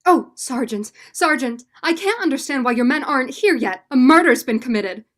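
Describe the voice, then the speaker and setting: A woman's voice: high-pitched, expressive, normal speed, a bit bright. A student actress playing an anxious, doubtful married woman with earnest melodrama in a vintage radio mystery. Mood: worried, insistent. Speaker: student actor.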